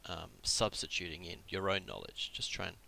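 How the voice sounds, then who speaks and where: Gravelly-voiced man teaching Japanese with a cold. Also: low voice, fairly even intonation, moderate pace, bright, forceful tone. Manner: casual, explanatory, frequent ums and restarts. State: has a cold. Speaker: Japanese tutor. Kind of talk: live-streamed language lesson.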